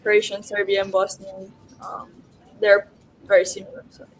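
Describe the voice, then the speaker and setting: A woman's voice: medium pitch, completely flat delivery, measured pace, a little hiss or hum, low-quality recording. A young woman with a Slovenian accent, a basketball player guesting on a podcast, talking casually with many "like"s and "um"s. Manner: lots of "like" and "um", thoughtful. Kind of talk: casual interview chat.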